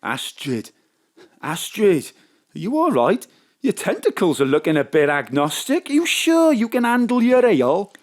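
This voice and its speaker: A man's voice: sits high, wildly swooping pitch, moderate pace, exaggerated voice. A man playing a gullible, tipsy pub drinker in a comic audio drama, slurring and befuddled. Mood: tipsy, rambling.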